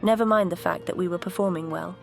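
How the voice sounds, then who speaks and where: A woman voicing a composed vintage aircraft character, telling her story in a measured, earnest cartoon dialogue style. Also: on the low side, steady tone, vocal fry, faint music behind. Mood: bitter. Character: composed, bitter but grateful female vintage jet museum exhibit.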